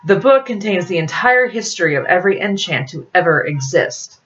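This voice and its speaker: A woman's voice: fairly low pitch, expressive, brisk, fairly bright tone, muffled recording. A woman voicing a prim, secretive female ally in a novel reading, with a firm, formal manner.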